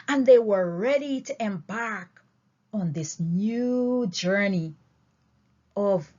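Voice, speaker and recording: Slow, very animated woman reading aloud. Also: mid-range voice. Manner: emphatic, deliberate phrasing with dramatic swings. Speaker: audiobook reader. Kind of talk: expressive storybook read-aloud. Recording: dull, lo-fi recording.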